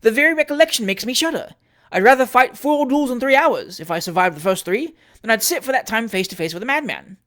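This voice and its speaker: A woman reading a story, voicing an agitated, indignant gentleman who shouts in panic. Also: on the high side, expressive, fast, pitched above the performer's own voice. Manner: loud, near-shouting outbursts.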